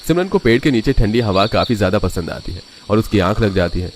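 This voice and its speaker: A man's voice: on the low side, expressive, a little fast, slight background noise. A man reading scripted narration for a cartoon family story as the storyteller.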